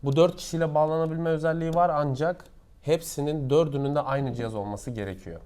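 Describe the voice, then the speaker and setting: A man's voice: a little high, a little fast, a little mellow. A man explains products casually in an interview as a knowledgeable seller, going step by step with examples.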